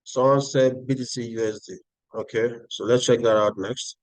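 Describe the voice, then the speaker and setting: A man's voice: mid-range voice, steady tone, normal speed, no echo. A Nigerian-accented man hosting a live trading stream, talking viewers through charts and answering their chat. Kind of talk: live-stream market analysis.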